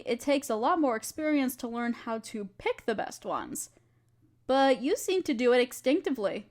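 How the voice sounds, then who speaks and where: A woman acts out an eager female alchemist teacher in a fantasy audio roleplay. Her voice sits high and swings freely up and down as she gently instructs and encourages her student, speaking straight to the listener. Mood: thoughtful, encouraging.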